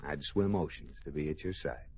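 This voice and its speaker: An actor playing a wry, easily exasperated but devoted husband in an old radio comedy, with theatrical comic timing. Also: male voice, very deep voice, moderate pace, darkish tone, husky, full character voice. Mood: deadpan, weary. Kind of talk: 1940s-50s radio sitcom acting. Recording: noisy, dull old radio recording.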